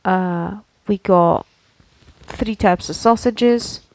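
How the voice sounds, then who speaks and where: Creaky-voiced woman chatting on a podcast. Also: medium pitch, extremely slow, slight background noise. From Slovakia. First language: Slovak. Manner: chatty, explanatory, checks in with listener. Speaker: podcast co-host. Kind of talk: casual conversational podcast chat.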